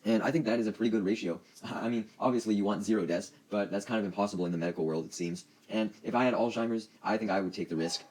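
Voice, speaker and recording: Man, deep voice, rapid delivery, casual online news commentary, opinionated, informal and sweary. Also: a little mellow, poor audio.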